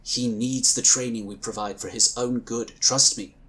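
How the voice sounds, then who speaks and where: A man voicing a calm, dutiful space agent. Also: medium pitch, close to an everyday voice. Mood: calmly insistent. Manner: measured, polite, firm. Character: calm, dutiful male psionic scion agent. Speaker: character in audio drama.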